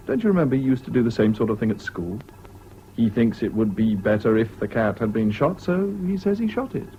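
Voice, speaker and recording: An actor playing a level-headed, concerned British officer in a 1970s radio drama, measured and practical in manner. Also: male voice, on the low side, very animated. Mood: matter-of-fact. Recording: muffled old radio recording with noise.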